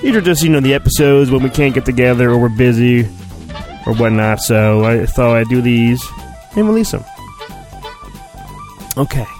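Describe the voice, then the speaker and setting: A man's voice: medium pitch, lively intonation, normal speed, long pauses, darkish tone, faint music behind. A man hosting a podcast, giving a comic, mock-earnest dramatic storybook reading with chatty asides and his own sound effects.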